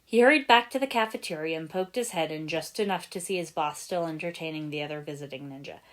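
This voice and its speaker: Expressive woman reading a story aloud. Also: low-pitched, fast talker. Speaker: fan fiction reader. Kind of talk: expressive scripted story narration.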